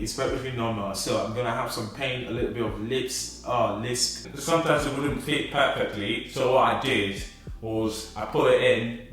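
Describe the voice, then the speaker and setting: Chatty man in an echoey room. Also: mid-range voice. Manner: chatty, excitable, thinking aloud. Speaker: vlogger. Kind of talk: casual unboxing chat. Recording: echoey room, mic at a distance.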